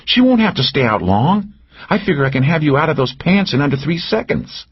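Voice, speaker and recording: A man's voice: a little high, brisk, breathy edge, lo-fi sound, voice pushed up, full character voice. A man putting on a brash flirty woman. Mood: scheming. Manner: brash, teasing, lively. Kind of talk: audiobook character voice.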